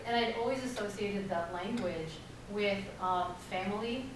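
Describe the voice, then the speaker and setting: A woman whose first language is Tagalog speaks thoughtfully on a panel, pausing over her words with a lot of "you know". Her pitch is an everyday middle. The sound comes from far away in a hall full of echo, with a noisy hum underneath. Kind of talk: reflective storytelling on a panel. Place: large echoey room. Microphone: distant room mic. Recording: echoey hall recorded from far off.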